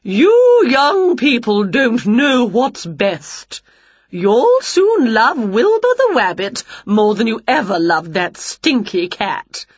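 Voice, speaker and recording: A fussy, bossy older witch aunt from a children's storybook, acted out by a woman. She scolds slowly and condescendingly, drawing words out with big swoops of pitch. The recording is close and a little dull. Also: big pitch swings, slightly rough, dry recording, heavily acted. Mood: smug, condescending.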